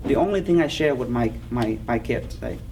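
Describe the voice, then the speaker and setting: A middle-aged man with a Vietnamese accent, speaking earnestly in an oral history interview, halting, with many fillers. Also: medium pitch, lively intonation, darkish tone. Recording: distant mic with clear background noise.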